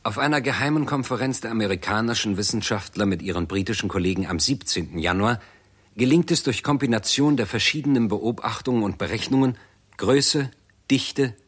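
An actor as the sober narrator of a 1960s German science fiction radio drama, reading in a factual, precise style. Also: male voice, on the low side, breathy edge.

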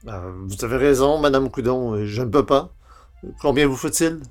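An actor playing a hapless, grumbling bookseller in a comic old-time radio play, hesitant and theatrical. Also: male voice, mid-range voice, moderate pace, a little mellow, soft background music, close to an everyday voice. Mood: reluctant. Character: hapless, grumbling everyman, failed singer turned bookseller.

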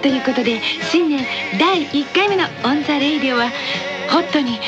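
A woman's voice: sits high, brisk. A woman hosting a radio show, reading sign-off announcements with light banter. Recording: dull radio sound, loud music behind.

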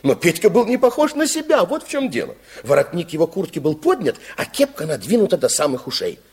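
A man's voice: a little high, huge pitch swings, a little fast, husky, full character voice. A man playing a kindly, playful storyteller in a children's radio play, speaking in a confiding way. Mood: amused, animated. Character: kindly fairy-tale narrator, playful and confiding.

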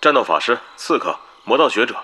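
An actor playing a brisk guild leader giving orders. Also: male voice, mid-range voice, big pitch swings, pushed and bright, slight background noise.

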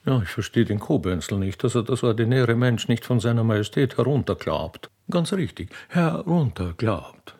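A man's voice: mid-range voice, expressive, moderate pace, few pauses, darkish tone. A man voicing a snobbish, indignant Austrian aristocratic lady in a radio play.

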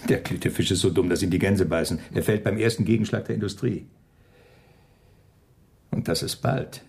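An actor in a German radio drama playing a cold, scornful Nazi functionary with a husky, gravelly voice. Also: male voice, low-pitched, normal speed, a little mellow, heavily acted. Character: calculating male Nazi camp functionary, scornful, zealous, cold.

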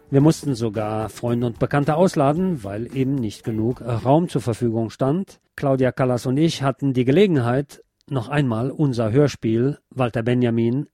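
A man's voice: medium pitch, mellow, dry recording. A man reading a scripted cultural report as a radio host.

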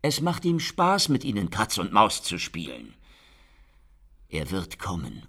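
A gruff, determined inspector in a radio drama. His voice sits low and moves widely in pitch as he gives brisk, emphatic orders and warnings. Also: fairly bright tone, exaggerated voice. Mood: confident, insistent. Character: gruff, determined male French police inspector. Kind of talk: German radio play acting.

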